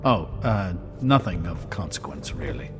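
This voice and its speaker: An actor playing a pompous, fretful official in a video game, speaking formally and anxiously. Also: male voice, deep voice, big pitch swings, measured pace. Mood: uneasy. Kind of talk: video game dramatic acting. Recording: loud music behind the voice.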